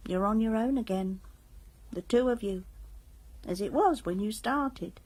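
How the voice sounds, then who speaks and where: A woman's voice: on the high side, a little slow, darkish tone, no room sound. An actress playing a disillusioned middle-aged mother with a British accent in a radio drama, speaking in a reflective, candid way. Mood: weary, reflective. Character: disillusioned middle-aged mother, weary of long marriage, protective.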